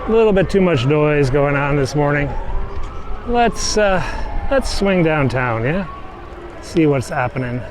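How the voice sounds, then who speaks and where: A man's voice: on the high side, animated, measured pace, pauses often. A man giving casual on-the-go narration as a vlogger, outdoors in the city on a handheld camera. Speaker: vlogger filming street incidents. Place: outdoors on city streets and a bike path. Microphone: handheld pocket camera. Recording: noisy outdoor city street with traffic. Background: traffic and city noise.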